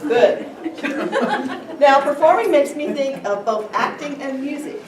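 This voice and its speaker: A woman's voice: high-pitched, expressive, measured pace. A woman in her sixties presenting, reading a script aloud to a class in a large room. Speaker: workshop presenter. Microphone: camera a few meters away. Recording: echoey room, far from mic, noisy.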